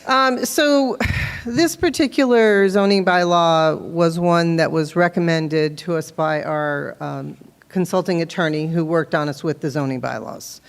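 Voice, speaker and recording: A woman explaining a town bylaw at a public meeting, speaking loudly and earnestly into a handheld microphone. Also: on the low side, unhurried, clear, resonant tone. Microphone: handheld microphone, sometimes held off-axis. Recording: long reverb of a meeting hall.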